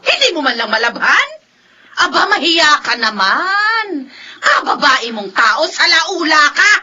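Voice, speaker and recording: A woman's voice: sits high, big pitch swings, a little slow, sharp tone, highs cut off, exaggerated voice. A woman playing a harsh, nagging elderly grandmother in a Filipino radio drama, scolding sharply and indignantly. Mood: disgusted, berating.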